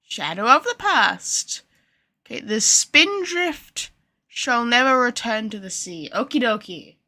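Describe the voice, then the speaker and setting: A woman's voice: a little high, lively intonation, takes it slowly, pushed and bright. A woman chatting casually with viewers on a game livestream, thinking aloud in an informal, chatty way. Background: game music.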